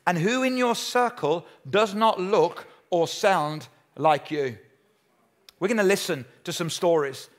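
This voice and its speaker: A man preaching a sermon with a high-pitched voice. He is emphatic and drives his points home by repeating key lines. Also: fairly bright tone. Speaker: pastor.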